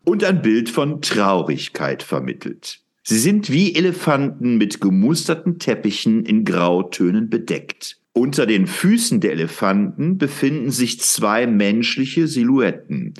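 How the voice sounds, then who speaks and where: A man's voice: mid-range voice, sing-song, measured pace. A man narrating an audio drama from a script, in a storyteller's descriptive delivery.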